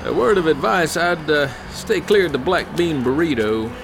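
An actor playing a folksy trucker at a diner with a low drawl, in an audio drama with music beneath. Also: male voice, expressive, normal speed, vocal fry.

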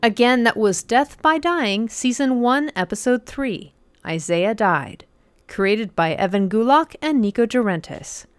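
A woman reads a scripted podcast outro as announcer. Her voice is smooth and fairly high, recorded very dry. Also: expressive, measured pace, dry recording.

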